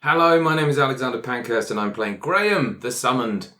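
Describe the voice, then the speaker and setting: A man's voice: mid-range voice, expressive, brisk, sharp tone. A man playing on a roleplay podcast, thinking aloud hesitantly as he improvises in casual chat. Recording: roomy, echoing sound.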